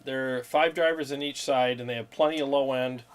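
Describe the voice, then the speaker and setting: A man's voice: medium pitch, steady tone, normal speed, clear, resonant tone. A man hosting a guitar livestream, chatting casually about gear with plenty of fillers and frequent laughs. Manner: laid-back, laughs often, talks gear with fillers. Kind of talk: casual chat.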